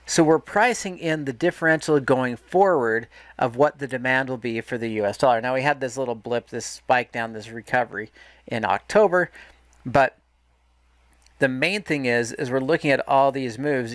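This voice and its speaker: Man, trading teacher, mid pitch, dry close sound, explanatory, restarts and corrects himself. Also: fairly bright tone, no echo. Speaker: market educator. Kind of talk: screen-share market analysis lesson. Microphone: voice-over to a screen recording.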